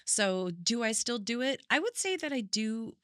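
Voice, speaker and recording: A woman's voice: on the high side, sing-song, moderate pace, a bit bright, no room sound, clean studio sound. A middle-aged woman, a singer and vocal coach, thoughtfully telling her story as a podcast guest in long, winding sentences. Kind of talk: reflective conversational storytelling.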